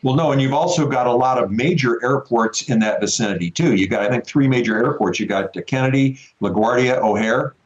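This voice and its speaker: A man's voice: medium pitch, a little fast, hardly any pauses. Dull lo-fi feed, male expert guest. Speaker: veteran pilot, expert guest. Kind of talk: conversational expert explanation. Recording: dull, lo-fi remote connection.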